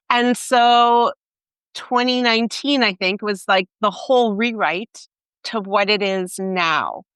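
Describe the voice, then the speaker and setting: A woman's voice: medium pitch, fairly bright tone, clean tone, no room sound. A middle-aged woman, an author interviewed as a guest, speaking in a thoughtful, conversational way.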